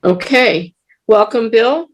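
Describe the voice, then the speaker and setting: A woman's voice: on the high side, slow, a little hiss or hum. A woman on a board reading interview questions to a candidate over a video call in a friendly way. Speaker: council board member asking questions. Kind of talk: interviewing at a public meeting.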